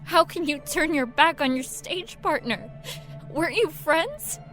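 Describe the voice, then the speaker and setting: High-voiced earnest young woman. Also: expressive, unhurried, fairly bright tone, faint music behind. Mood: pleading, urgent. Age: young adult. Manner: talks to herself, gasping, urgent pleading. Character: earnest, pleading young woman seeking her grandfather's wish. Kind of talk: audio drama acting.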